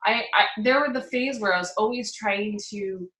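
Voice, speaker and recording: A woman's voice: mid-range voice, a bit bright. An American woman from Utah speaking as an interview guest in casual, reflective conversation, often searching for her words. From Utah, United States. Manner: halting, searching for words, frequent "like". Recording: echoey, roomy sound.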